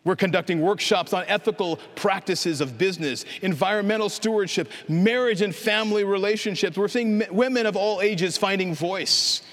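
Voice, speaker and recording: Male pastor, slightly high voice, earnest sermon building to fervent calls, big reverberant hall. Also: moderate pace, hardly any pauses, a bit bright. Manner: earnest, building to impassioned proclamation.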